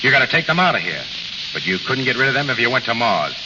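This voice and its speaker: Male actor for shrewd American gang leader, radio play; lively intonation, gangster slang, reverberant old recording. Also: medium pitch, fast, natural voice. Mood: wry, persuasive. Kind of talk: 1930s-40s radio drama acting. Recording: dull, noisy vintage radio recording, long reverb.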